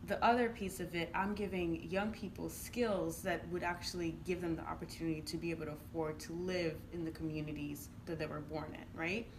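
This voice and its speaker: A woman's voice: lowish voice, fairly even intonation, brisk, hardly any pauses. A woman from Uganda giving conversational interview answers in an earnest, explanatory manner, often checking in with "right?". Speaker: interviewed entrepreneur and youth programme coach. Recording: clearly noisy room ambience.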